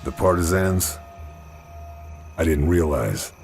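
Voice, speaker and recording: An actor playing a terse, gruff army sniper lieutenant with a laconic, stoic manner in a video game cutscene. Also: male voice, rumbling low, animated, slow pace, a little mellow, faint music behind, exaggerated voice. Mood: subdued, stoic.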